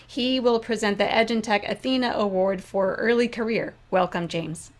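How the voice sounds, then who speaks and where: A woman's voice: mid-range voice, normal speed. Woman host giving a formal introduction. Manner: brief, formal announcing. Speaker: event host introducing speakers. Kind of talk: scripted introduction.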